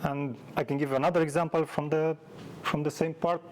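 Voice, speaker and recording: A man's voice: a little high, flat delivery, a little fast, slight background noise. A man giving an informal technical meetup presentation in a conversational, self-deprecating way, like a developer sharing experience. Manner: conversational, self-deprecating, illustrates points with anecdotes. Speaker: conference speaker, software developer. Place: live meetup talk before an audience.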